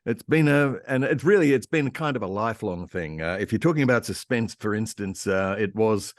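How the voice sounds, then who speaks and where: An older man with an Australian accent, reminiscing casually and haltingly as an interview guest. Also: lowish voice, lively intonation, no room sound, studio quality. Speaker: interview guest, radio historian and voice actor.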